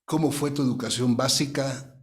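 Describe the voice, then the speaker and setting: A man speaks as an interview host at a middling pitch. His delivery is formal and courteous. He phrases things in a measured, deliberate way. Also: steady tone.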